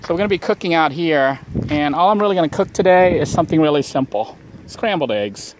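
A man's voice: fairly high pitch, fairly quick, slight background noise, poor audio. A man vlogging outdoors beside a van, talking casually through his cooking with lots of fillers. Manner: relaxed, rambling with many fillers. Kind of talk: casual how-to narration while cooking.

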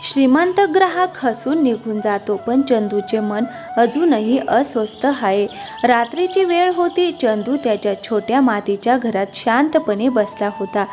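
A woman's voice: fairly high pitch, animated, unhurried, darkish tone. A woman reading scripted narration for a cartoon moral story. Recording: music behind the voice.

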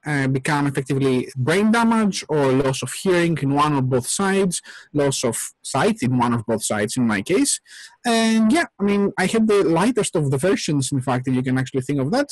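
A man's voice: on the high side, animated, normal speed, dry recording, low-quality recording. A man in his forties from Greece, speaking English, earnestly explaining at length as an interview guest over a headset. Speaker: guest, software company CTO. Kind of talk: casual interview talk. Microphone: headset on a video call.

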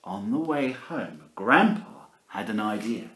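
A man's voice: lowish voice, expressive, slow pace, fairly bright tone. A man in his forties reading a picture book aloud to children in a theatrical, playful way, speaking to them directly in a living room. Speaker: primary school teacher reading aloud. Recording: roomy home camera recording.

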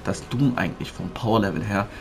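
Low-voiced man giving a game walkthrough. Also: brisk, gravelly, a little hiss or hum. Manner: explanatory, addresses viewers as friends. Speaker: gaming video creator.

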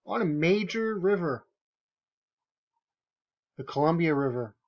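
A man's voice: a little high, lively intonation, slow pace, lo-fi sound. A man giving casual, sarcastic commentary over screenshots, with incredulous, emphatic asides. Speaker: video commentator.

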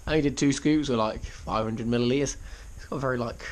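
A man giving casual asides to a handheld camera, his voice slightly croaky after exercise. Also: mid-range voice, quick pace. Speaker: vlogger. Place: home room and outdoors. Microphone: handheld action camera. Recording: noisy action-camera sound.

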